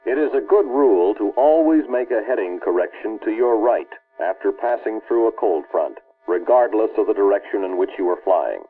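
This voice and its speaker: A man's voice: lowish voice, animated, husky, faint music behind. Muffled vintage American training-film narrator, male. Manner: formal, authoritative, measured. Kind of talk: scripted instructional narration, early 1960s military film. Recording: muffled, band-limited old film soundtrack.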